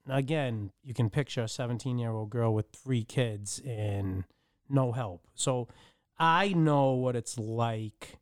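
Smooth-voiced middle-aged man telling his story. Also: mid-range voice, a little mellow, dry recording, clean studio sound. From Dominican Republic. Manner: halting, with frequent restarts and fillers. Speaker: podcast guest. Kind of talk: personal storytelling.

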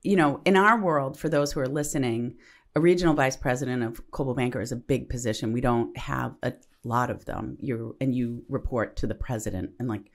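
A woman's voice: extremely low pitch, a little fast. A woman co-hosting a casual podcast, speaking eagerly and in long, rambling explanations. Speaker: podcast co-host.

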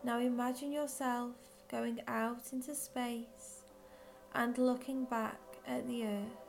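A woman narrates a guided visualisation in a slightly high-pitched voice. She speaks very slowly and gently, leaving long pauses between phrases. Faint music plays behind her. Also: level tone, vocal fry. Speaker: guided meditation leader.

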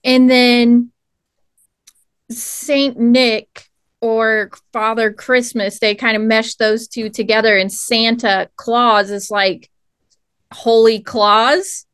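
Podcast guest, woman, Cleveland twang with Southern bits, slow, dry sound. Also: fairly high pitch, level tone, a bit bright, clear, resonant tone, no room sound. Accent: Cleveland mixed with Southern. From Cleveland, Ohio. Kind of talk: casual chat.

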